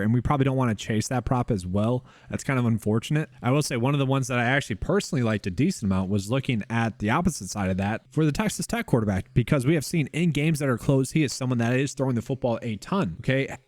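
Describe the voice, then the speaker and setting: A man rattles through sports betting picks at a quick clip, chatting casually to his viewers. His voice is wearing thin and hoarse. He is recorded dry and close, with no room sound. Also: mid-range voice, dry recording. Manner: fast, conversational, addresses viewers as guys. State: losing his voice. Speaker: sports betting analyst host. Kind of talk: casual screen-share analysis.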